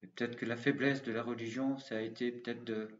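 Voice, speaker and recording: A man's voice: mid-range voice, level tone, fairly quick. A man making an earnest, impassioned appeal straight to viewers, repeating slogans. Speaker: commentator. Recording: roomy, distant, dull sound.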